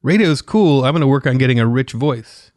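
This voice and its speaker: A man co-hosting a podcast interview, playful and joking, putting on quick voices. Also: mid-range voice, expressive, a little mellow, no room sound, studio quality. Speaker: podcast co-host. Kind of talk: casual interview chat. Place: podcast studio.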